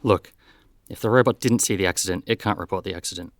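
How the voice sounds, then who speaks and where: An actor playing a brisk, matter-of-fact male detective in a scripted audio drama, businesslike and plain-spoken. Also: deep voice, close to an everyday voice. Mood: analytical.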